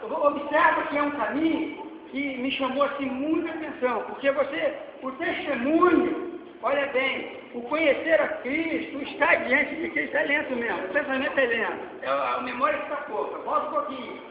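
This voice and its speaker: A man in his forties with a Brazilian Portuguese accent, preaching a sermon that swings between loud exhortation and quiet asides. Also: very high voice, normal speed, vocal fry, crowd noise. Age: 40s. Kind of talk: sermon with slides. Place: church hall. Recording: echoey hall, dull lo-fi sound, faint noise.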